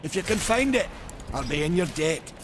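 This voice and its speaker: A boastful, chatty maker. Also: male voice, on the high side, sing-song, moderate pace, noisy background. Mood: wry. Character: boastful male maker, wry and talkative. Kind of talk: video game cutscene acting. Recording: game score behind.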